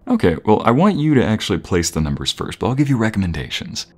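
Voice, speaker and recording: Very deep-voiced man, playful boyfriend roleplay. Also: big pitch swings, fast talker, slightly rough.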